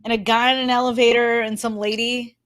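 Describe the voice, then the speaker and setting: A woman's voice: on the high side, little pitch movement, a little fast, fairly bright tone, no room sound. A young woman in casual livestream banter, informal, giggly and self-deprecating. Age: young adult. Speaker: podcast cast member.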